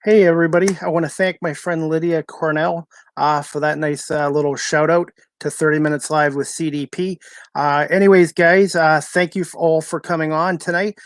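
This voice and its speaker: A man's voice: on the high side, steady tone, normal speed, no echo. Canadian male host, easygoing, filler-heavy, plain dry mic. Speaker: talk show host. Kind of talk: casual live show chat.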